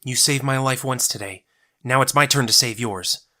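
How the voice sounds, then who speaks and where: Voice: medium pitch, moderate pace, sharp tone, pitched above the performer's own voice, lightly acted. A performer voicing a fierce, defiant shape-shifting woman fighter with a brash, snarling delivery. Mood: determined.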